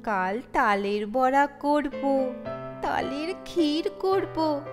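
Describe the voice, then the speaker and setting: A woman's voice: high voice, extremely slow, music in the background. A woman voicing a kind-hearted, motherly Bengali housewife who chides with affection in an audio story. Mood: playful chiding. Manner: colloquial, affectionate scolding.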